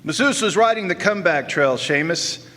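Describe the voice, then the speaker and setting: An actor playing a sleazy, smooth-talking male Hollywood agent who talks in a slick, evasive way on a stage. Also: high-pitched, expressive, moderate pace, sharp tone, a little hiss or hum. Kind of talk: 1940s-style noir radio acting. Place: live stage performance in a hall. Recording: long hall reverb.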